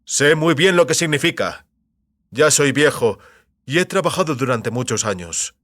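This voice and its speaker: A man voicing an aging company boss, wary and weary, with formal, measured speech that can turn startled, in an audio drama. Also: medium pitch, expressive, fairly bright tone, no echo, clean studio sound, natural voice. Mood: weary, resigned. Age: older.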